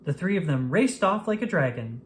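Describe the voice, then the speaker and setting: A woman with a low-pitched voice reads a children's story aloud. Her intonation rises and falls in a lively, expressive way suited to young listeners. Some background noise sits behind her narration. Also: normal speed.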